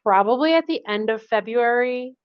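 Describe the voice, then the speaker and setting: A woman's voice: a little high, normal speed, smooth. A woman presenting a project at a public meeting, talking in a casual, friendly way. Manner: casual and friendly, plain conversational phrasing. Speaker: project consultant presenting to a commission. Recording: dull lo-fi meeting recording.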